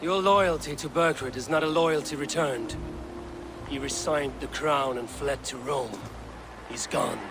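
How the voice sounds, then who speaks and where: An actor playing a stoic, commanding Viking warrior leader in a video game, speaking gravely and firmly. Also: male voice, fairly high pitch, a little slow. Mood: grave, persuasive. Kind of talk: dramatic video game acting. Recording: dramatic music behind the voice. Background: loud game music.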